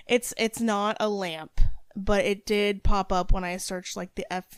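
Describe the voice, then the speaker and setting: A woman's voice: mid-range voice, steady tone, unhurried, clean tone, dry recording. A woman co-hosting a podcast, chatting casually and reading out stories. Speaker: podcast co-host telling stories.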